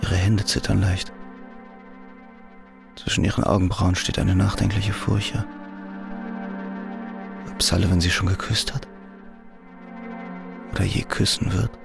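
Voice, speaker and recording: The inner voice of a tormented prisoner. The voice is very gravelly, low and slow, and the thoughts are brooding and introspective. Clear music runs underneath. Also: male voice, little pitch movement, heavily acted. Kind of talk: interior monologue in radio drama.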